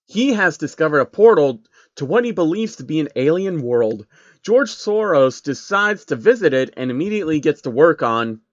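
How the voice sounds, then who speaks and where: A man's voice: fairly high pitch, dry recording, dull audio. A man reading a story aloud in an informal, wry way, with amused casual asides. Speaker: narrator reading fan fiction aloud. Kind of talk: casual commentary and amateur storytelling.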